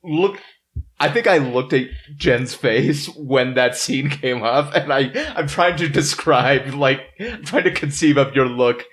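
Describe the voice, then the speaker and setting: A man with a middle-pitched voice hosts a casual podcast chat. He speaks haltingly, with a joking, laughing manner. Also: a bit bright. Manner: halting, jokey, laughs often. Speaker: podcast host. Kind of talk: casual chat, retelling a life story. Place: in-person table recording.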